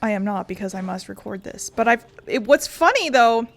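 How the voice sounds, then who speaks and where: A woman's voice: mid-range voice, expressive, fairly quick. Woman gamer rambling casually between bits, lots of likes and ums. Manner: rambling, filler-heavy talk. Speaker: gaming video host. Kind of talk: casual chat while playing.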